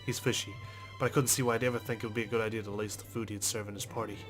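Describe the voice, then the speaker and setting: A man reading visual novel text aloud as a let's player, in a measured, understated storytelling manner with brief asides. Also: on the low side, level tone. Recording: music behind the voice. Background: game music.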